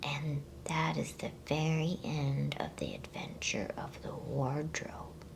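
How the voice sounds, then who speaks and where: Whispering, slow-paced woman doing ASMR. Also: low-pitched, low-quality recording. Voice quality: breathy whisper. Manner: soothing, unhurried, hushed. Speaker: host of ASMR bedtime story channel. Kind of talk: ASMR whispered reading and chat.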